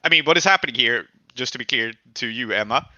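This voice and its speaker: A man's voice: medium pitch, quick pace, sharp tone, no room sound, dull audio. A man acting as game master, explaining rulings and stakes to his players in casual talk.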